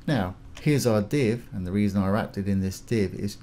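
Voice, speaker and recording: A man hosting a casual step-by-step software tutorial, informally talking through each action as he goes. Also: lowish voice, normal speed. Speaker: tutorial host. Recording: clear background noise, keyboard typing.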